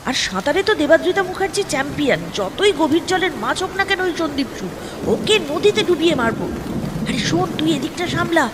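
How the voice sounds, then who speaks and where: Woman doing a ruthless seductive bengali exec lady, audio drama, highish voice, big reverb. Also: a little fast, heavy background noise. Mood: cold, commanding. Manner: urgent when plotting, coy and breathy when flattering. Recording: long reverb, clear music behind. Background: background music.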